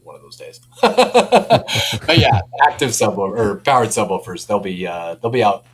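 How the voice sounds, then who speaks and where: A man's voice: on the low side, sing-song, normal speed. A man casually and enthusiastically showing off products as a company representative, informal and given to chuckling. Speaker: speaker company representative giving a product demo. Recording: clear background noise with hum.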